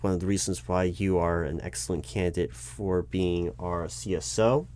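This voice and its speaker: American guy with a lowish voice doing a flustered hr manager roleplay, stammers through bureaucratic explanations. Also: fairly even intonation, darkish tone, a little hiss or hum. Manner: halting, hesitant, explanatory, officious. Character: flustered bureaucratic male corporate recruiting manager addressing his disguised boss. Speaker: performer of a one-sided roleplay. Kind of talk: improvised conversational ASMR roleplay, spoken aloud.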